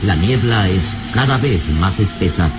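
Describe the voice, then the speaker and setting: A man's voice: deep voice, animated, a little slow. A man playing the solemn, theatrical narrator of a suspenseful adventure radio serial. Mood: ominous. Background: music.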